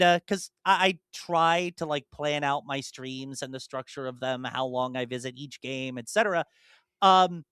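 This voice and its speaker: A man's voice: high voice, fairly bright tone, smooth, no echo, clean studio sound. A young man and podcast co-host chatting casually about video games, rambling through detailed explanations with many ums and restarts.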